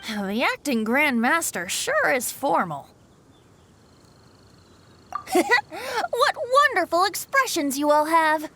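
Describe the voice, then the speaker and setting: A man's voice: extremely high pitch, sing-song, takes it slowly, long pauses, bright, forceful tone, slight background noise, heavily acted. An actor playing a playful, carefree young male bard in a game, with a whimsical, theatrical manner. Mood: breezy, sly. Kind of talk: scripted game dialogue.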